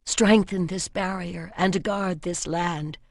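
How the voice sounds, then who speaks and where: A woman's voice: lowish voice, fairly even intonation, slow pace, gravelly, dry recording, clean studio sound, full character voice. A woman voicing a solemn, devout priestess in a fantasy audiobook, speaking in a formal, incantatory way. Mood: reverent request.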